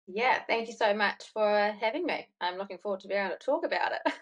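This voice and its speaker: A woman's voice: mid-range voice, animated, fairly quick. A young woman from New Zealand with a New Zealand accent, a podcast guest chatting loosely and telling a story, full of fillers. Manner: chatty, rambling, many fillers and "like". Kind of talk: casual storytelling interview.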